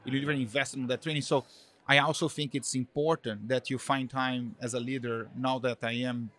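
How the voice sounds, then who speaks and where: A man's voice: medium pitch, fairly even intonation, a little fast, fairly bright tone. A middle-aged man from Brazil with a Brazilian Portuguese accent, a guest answering interview questions in a loose, rambling way full of "like" and "uh". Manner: rambling, many fillers like "like" and "uh". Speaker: guest, marketing executive.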